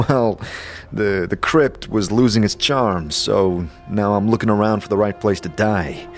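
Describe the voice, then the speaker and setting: A man's voice: fairly low pitch, unhurried, faint music behind. A man playing an amnesiac undead wanderer in a fantasy audio drama, dry, earnest and understated. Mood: dry, curious. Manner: hesitant, understated. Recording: faint background noise and ambience.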